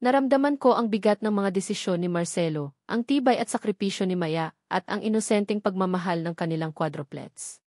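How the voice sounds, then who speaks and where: A woman with a Filipino accent reads a scripted love story in an expressive, sentimental storytelling manner. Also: mid-range voice, a little fast, dry recording, clean studio sound. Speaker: story narrator.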